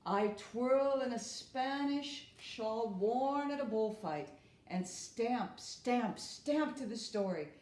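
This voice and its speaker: Slow, expressive woman storyteller in echoey room. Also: a little high. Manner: deliberate, expressive phrasing. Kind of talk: expressive children's storybook reading. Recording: roomy, echoing room.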